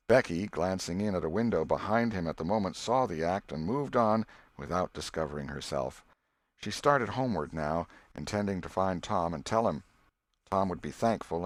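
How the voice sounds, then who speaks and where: A male audiobook narrator reads literary prose from a script. His pitch sits on the low side. The recording is close and dry, with very little room sound. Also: no echo.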